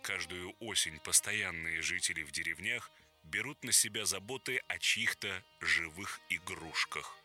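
Male audiobook narrator: very low, bright tone, calm storytelling, soft music behind. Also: a little slow. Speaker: audiobook reader.

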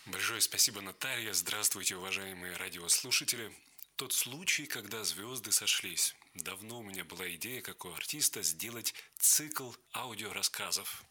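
A male actor speaking earnestly and warmly as a radio guest about his reading work. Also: lowish voice, normal speed, breathy edge. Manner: earnest, heartfelt. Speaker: actor and audiobook reader.

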